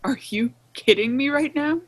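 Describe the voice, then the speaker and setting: A woman playing a distraught, lonely young prisoner in an audio play, halting and shaky, flaring into anger. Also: sits high, dry recording, lightly acted. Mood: furious, desperate. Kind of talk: audio play acting over online stage reading.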